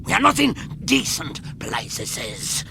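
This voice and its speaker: An actor playing a wretched, scheming creature in a radio drama, hissing and stuttering in broken phrases with a creaky voice. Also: male voice, on the high side, huge pitch swings, slow pace, full character voice. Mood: urgent, impatient. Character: wheedling, scheming, wretched creature hurrying his companions along.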